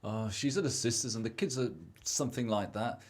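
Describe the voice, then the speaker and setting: A young British man playing a selfish, excitable married debtor who thinks he's rich, in an amateur radio play. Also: mid-range voice, brisk, close to an everyday voice. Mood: offhand.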